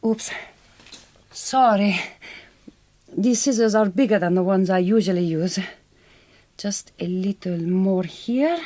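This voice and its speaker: An actress playing a direct, kind but quietly wounded Hungarian migrant farm worker in a radio drama, with a Hungarian accent and careful, reassuring speech. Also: female voice, medium pitch, slow, long pauses, dry recording, lightly acted. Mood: friendly, curious.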